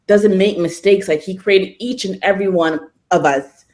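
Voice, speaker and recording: A woman's voice: mid-range voice, moderate pace, a little mellow. Earnest, encouraging woman podcast host. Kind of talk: casual faith podcast chat.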